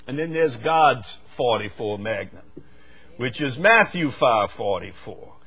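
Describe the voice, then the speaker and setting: An older man from the United States preaching a sermon, swinging from loud, fervent stretches to quiet asides. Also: medium pitch, lively intonation, slow pace, breathy edge.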